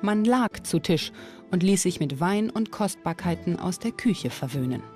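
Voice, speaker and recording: A woman's voice: fairly low pitch, expressive, normal speed, a little mellow, faint music behind. A woman presenting and narrating a documentary in scripted sentences.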